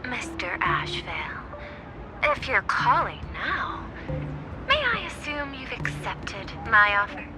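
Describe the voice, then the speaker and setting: Enigmatic game businesswoman: soft-spoken, formal, slow and poised, loud music behind. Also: on the high side, sing-song, low-quality recording. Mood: solemn. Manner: formal, deliberate. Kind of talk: dramatic video game acting.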